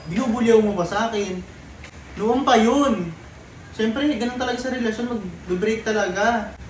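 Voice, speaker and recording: A man's voice: high voice, normal speed. Young man, grumbling, defensive, repetitive, distant mic, echoey, noticeable background noise. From Philippines. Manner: defensive, grumbling, repeats retorts. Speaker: boyfriend being pranked in a couple's vlog. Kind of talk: casual bickering.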